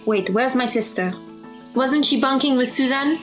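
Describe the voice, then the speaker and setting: A woman's voice: high voice, normal speed, music in the background, natural voice. A woman playing a level-headed, good-natured teenage girl with a sensible, teasing manner in an audio drama.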